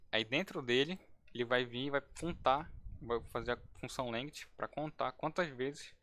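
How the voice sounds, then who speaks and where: A man's voice: mid-range voice, a bit bright. Casual man teaching coding. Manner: casual, thinking aloud with restarts. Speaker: instructor. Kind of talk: live-streamed coding lesson.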